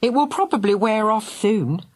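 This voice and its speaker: A woman's voice: mid-range voice, lively intonation, normal speed, pitched above the performer's own voice, close to an everyday voice. A woman voicing a kind older woman who comforts and soothes. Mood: comforting. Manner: soothing, gentle.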